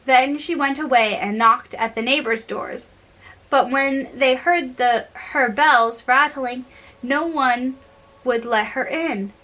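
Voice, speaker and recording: A woman reading storybook tales aloud in a halting, deliberate way, with casual wry asides. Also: sits high, pushed and bright, low-quality recording. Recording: noticeable background noise.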